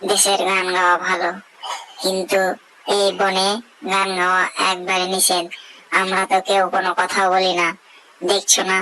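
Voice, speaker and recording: A woman's voice: medium pitch, monotone, moderate pace, pauses often, sharp tone, slight background noise, lightly acted, low-quality recording. A woman voicing a wary talking forest animal in a cartoon fable. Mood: cautioning. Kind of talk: cartoon dubbing.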